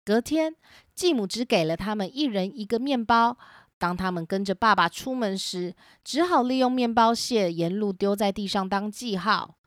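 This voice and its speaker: A woman's voice: medium pitch, moderate pace, no echo, studio quality. A woman host narrating a scripted children's story.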